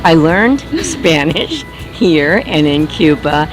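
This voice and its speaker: Low-voiced American woman answering casually. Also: sing-song, slow, over music, poor audio. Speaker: interviewee.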